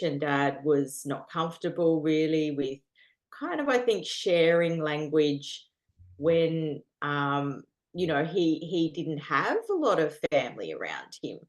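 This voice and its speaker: An Australian woman talks as a guest in a relaxed interview, her voice sitting on the low side. She is earnest and chatty, filling her sentences with "um" and "you know," and she laughs easily as she goes. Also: slow. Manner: earnest, laughs often, many fillers. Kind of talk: casual interview chat.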